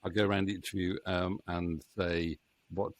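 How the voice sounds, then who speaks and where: A middle-aged man hosting a casual interview in a jokey, halting way. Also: low-pitched, level tone. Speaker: interviewer and voice actor. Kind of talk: casual chat. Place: small home room. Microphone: studio microphone on a boom arm.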